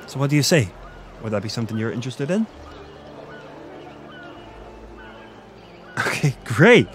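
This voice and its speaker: Clear outdoor village ambience sits behind the voice of a friendly, flirty Irish innkeeper acted by a man in a fantasy audio roleplay. He has a mid-pitched male voice with an Irish accent. He talks informally and confidingly, as if chatting one to one with a guest. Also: very animated, fast, background noise, lightly acted. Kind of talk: conversational romantic audio roleplay acting. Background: village ambience with distant chickens.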